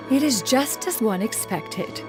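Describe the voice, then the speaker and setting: An actress playing a proud immortal master in a video game, speaking in formal, archaic phrasing. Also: female voice, sits high, heavily acted. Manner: formal, archaic, calls herself "one". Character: proud, haughty immortal female master in human form.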